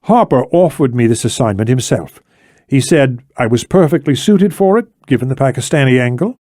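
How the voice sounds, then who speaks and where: Determined female operative played by a male narrator, emphatic audiobook dialogue, animated, dry sound. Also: mid-range voice, normal speed, dry recording, close to an everyday voice. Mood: firm. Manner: insistent, emphatic. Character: determined, defensive young female intelligence operative.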